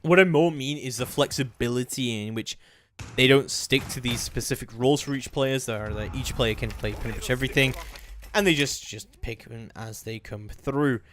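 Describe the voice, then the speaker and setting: A man's voice: mid-range voice, normal speed, fairly bright tone, slight background noise. A man doing live play-by-play commentary on a competitive video game match.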